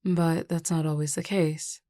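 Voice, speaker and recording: Woman acting a composed, low-voiced pack beta. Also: little pitch movement, dark tone, no room sound. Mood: composed, explanatory. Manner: measured, explanatory, composed. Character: confident, reassuring adult female werewolf pack beta. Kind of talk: scripted audio roleplay.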